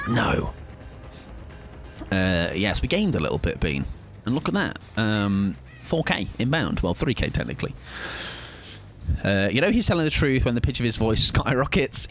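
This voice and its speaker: A young man chats his way through a live game stream in a relaxed, joking manner. His pitch leaps around constantly, squeaking up high when he gets excited. Faint game sounds hum underneath. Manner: chatty, playful, swings into high excited pitch. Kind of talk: casual live-stream chat while gaming.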